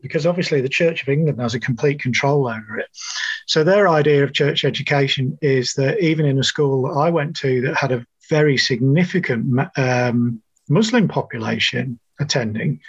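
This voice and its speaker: A middle-aged man with a New Zealand accent, a nurse guest telling his story in a measured, thoughtful way. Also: medium pitch, slightly rough, no room sound, dull audio. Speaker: interview guest, registered nurse. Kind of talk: conversational interview storytelling.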